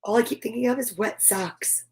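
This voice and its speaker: A woman hosting an animated children's story read-aloud, with sing-song repetition, dramatic exclamations and casual asides. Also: a little high, normal speed. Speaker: host reading picture books aloud.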